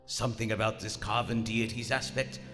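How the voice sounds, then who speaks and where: A man's voice: on the low side, flat delivery, a little fast, fairly bright tone, close to an everyday voice. A man playing a haughty, coldly rational German submarine commander in a live radio drama, with formal, precise, theatrical diction. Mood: grave, measured. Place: on stage in a large historic theater. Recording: live theater hall with reverb, music behind.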